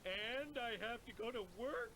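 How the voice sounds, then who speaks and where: A lazy whining cartoon dad. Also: male voice, extremely high pitch, measured pace, pushed and bright, heavily acted. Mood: whiny. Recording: faint game audio from far off.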